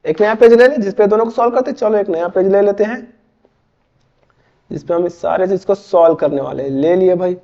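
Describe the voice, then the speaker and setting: A man's voice: sits high, a little fast, warm tone, dull audio. A man in his twenties from Chhattisgarh, India, casually teaching maths step by step, often asking and answering his own questions. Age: 20s. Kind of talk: casual tutorial explaining board exam maths.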